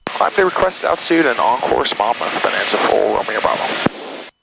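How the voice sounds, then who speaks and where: A man's voice: lowish voice, sing-song, moderate pace, vocal fry. A man working as a pilot, switching between clipped radio calls and casual chat over a headset in a small plane. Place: small plane cockpit in flight. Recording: noisy aircraft cockpit, engine drone.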